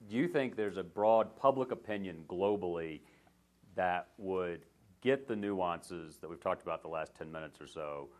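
Very deep-voiced male moderator. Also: moderate pace, a little mellow. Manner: probing, asks long framing questions. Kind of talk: panel discussion.

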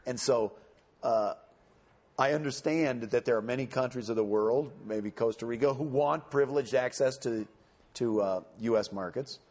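Measured man explaining policy. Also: medium pitch, a little mellow. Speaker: panelist speaking for a campaign. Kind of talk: answering audience questions.

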